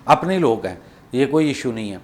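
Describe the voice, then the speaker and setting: Man, Pakistani accent, medium pitch, prank caller, rambling, repetitive, confusing improvised banter. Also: sing-song, moderate pace. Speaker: prank caller hosting a comedy show.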